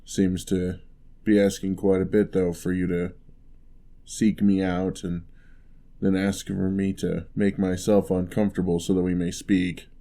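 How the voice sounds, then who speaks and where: A man playing a huge, gentle, courteous giant in an ASMR roleplay, speaking softly with wry, teasing, old-fashioned politeness. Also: low voice, little pitch movement, creaky. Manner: unhurried, soft-spoken, old-fashioned courteous phrasing.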